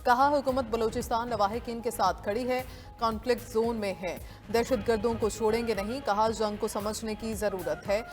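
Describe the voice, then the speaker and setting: A woman's voice: a little high, fairly even intonation, moderate pace. Pakistani woman anchoring headlines over music. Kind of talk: scripted news headline reading. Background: music bed.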